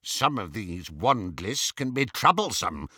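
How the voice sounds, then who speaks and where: A man voicing a snobbish, suspicious male dark wizard in an audiobook, formal and disdainful, with a pompous drawl. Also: lowish voice, sing-song, measured pace, husky, no echo, studio quality, full character voice. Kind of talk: audiobook character voice.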